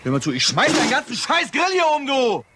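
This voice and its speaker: Brisk, irritable police detective. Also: male voice, very high-pitched, huge pitch swings, exaggerated voice. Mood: annoyed. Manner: brusque, colloquial. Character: blunt, irritable male police detective, loyal but suspicious. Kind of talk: radio crime drama acting. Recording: clear background noise.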